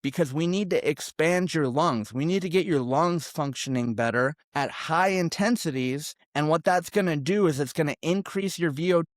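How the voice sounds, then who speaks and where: Man, thirties, sales coach, emphatic, over-articulated, somewhat high pitch, dry close recording. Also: moderate pace, hardly any pauses, no room sound. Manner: emphatic, over-articulated, demonstrates example lines. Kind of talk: direct-to-camera sales coaching.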